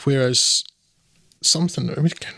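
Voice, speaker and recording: A man's voice: mid-range voice, moderate pace, vocal fry, a bit bright, no echo. A man co-hosting a podcast, chatting casually about films in a rambling, dismissive way with lots of fillers. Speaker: podcast co-host. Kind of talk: casual film review chat.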